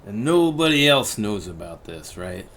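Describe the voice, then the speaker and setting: A man acting a menacing old-west gunman with a gravelly voice and terse, threatening delivery in an audio drama. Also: fairly low pitch, very animated, moderate pace, fairly bright tone, a little hiss or hum, heavily acted. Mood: cold menace.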